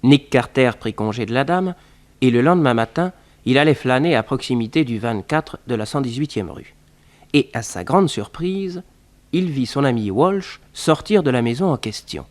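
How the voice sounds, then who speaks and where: A man with a medium-pitched voice narrates a vintage radio serial. His delivery is scripted storytelling in the manner of 1960s French broadcast drama. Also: animated, normal speed.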